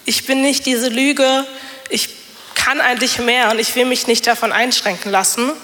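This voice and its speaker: A woman's voice: on the high side, fairly bright tone, long reverb tail. A young woman with a slight cold giving a personal testimony in church on a handheld microphone, chatty and full of fillers. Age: young adult. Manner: chatty, many fillers, self-deprecating laughs. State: has a cold. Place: church hall.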